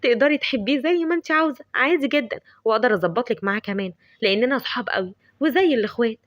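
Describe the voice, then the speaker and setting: A calm young businesswoman with an Egyptian Arabic accent , as a woman voices her in a story. She sounds reassuring, with a light mocking edge, and her speech is brisk. The recording is dry. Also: fairly high pitch, no echo, lo-fi sound, natural voice.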